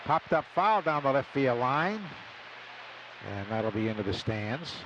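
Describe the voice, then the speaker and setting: A man's voice: sing-song, unhurried, dull audio, noisy background. An older American man doing conversational live radio baseball play-by-play from a stadium booth. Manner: conversational, storytelling between pitches. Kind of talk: live radio sports commentary.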